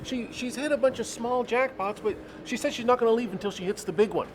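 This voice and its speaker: A harried casino worker, played by an actress, with a high, pleading voice. Clear background noise surrounds her comic dialogue. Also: fast talker, natural voice. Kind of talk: scripted comedy acting. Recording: noisy room ambience.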